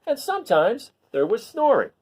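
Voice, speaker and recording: Animated man reading a picture book aloud. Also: extremely high pitch, moderate pace, breathy edge. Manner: expressive, asks listeners questions. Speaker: read-aloud storyteller. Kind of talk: children's picture book read-aloud with asides.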